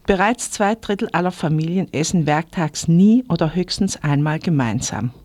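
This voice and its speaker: A woman's voice: lowish voice, darkish tone, no echo. A woman giving a chatty, scripted report as a radio market reporter.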